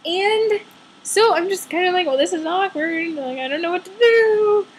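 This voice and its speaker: A young woman telling a casual personal story in an animated, exaggerated way, acting out her own asides as a storytelling vlogger. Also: extremely high pitch, moderate pace, a little hiss or hum.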